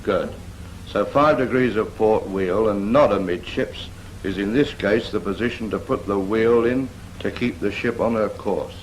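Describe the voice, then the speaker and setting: Low-voiced British navy instructor played by an actor. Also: male voice, measured pace, close to an everyday voice. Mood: instructive. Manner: instructive, firm, prompting with questions. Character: patient, brisk Royal Navy instructor teaching a novice helmsman. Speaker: naval steering instructor. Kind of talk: scripted 1940s training film dialogue. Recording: noisy 1940s film soundtrack.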